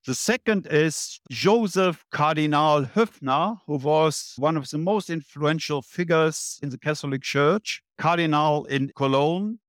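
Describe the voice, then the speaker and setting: An older man in his seventies speaks as an interview guest, setting out his points in order at a measured, deliberate pace. His voice sits a little higher than most men's. The sound is dry and close, with no room around it. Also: no room sound. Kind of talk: interview answers.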